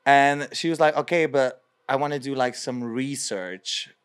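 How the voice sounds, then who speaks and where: A man in his twenties from Belgium, a guest performer telling his story expressively in a podcast interview, acting out his own past thoughts, in a small studio on a boom mic. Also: unhurried, clear, resonant tone. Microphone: studio mic on boom arm.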